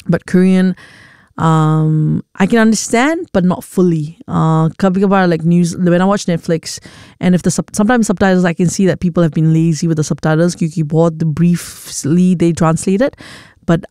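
A woman's voice: fairly low pitch, dark tone, smooth, no echo, clean studio sound. A young woman whose first language is Nepali, hosting a radio show in casual chat that mixes English and Hindi, hesitant, with many fillers. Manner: chatty, hesitant, with many ums and quick laughs. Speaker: radio host.

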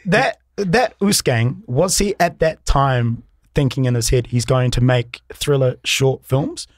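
A man's voice: medium pitch, measured pace, dry recording, clean studio sound. A man with a New Zealand accent co-hosting a radio show, chatting casually and effusively in informal slang. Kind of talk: casual radio interview chat.

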